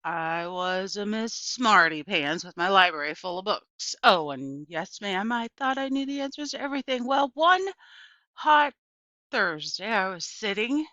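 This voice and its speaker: Lady reading as old folksy librarian woman, storybook, swingy forceful delivery, slightly muffled lo-fi recording. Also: medium pitch, animated, unhurried, dry recording. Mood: dramatic reminiscing. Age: older. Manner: folksy, emphatic, repeats herself. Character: elderly chatty small-town woman librarian, wistful and dramatic storyteller. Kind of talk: children's storybook read aloud.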